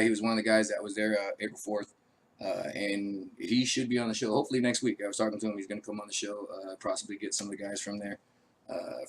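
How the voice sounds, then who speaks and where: A man who is an army veteran hosting his own show, talking casually and rambling with many fillers, his voice raspy from a cold. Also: fairly low pitch, a little hiss or hum, low-quality recording. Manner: laid-back, rambling, frequent uh fillers. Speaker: show host. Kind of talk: casual live-stream talk.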